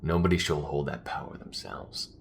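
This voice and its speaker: A man's voice: lively intonation, moderate pace, creaky, a little hiss or hum, exaggerated voice. A man playing a weary, principled space rebel in a sci-fi audio drama, speaking in an earnest, emphatic way. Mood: defiant, firm. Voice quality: low-pitched.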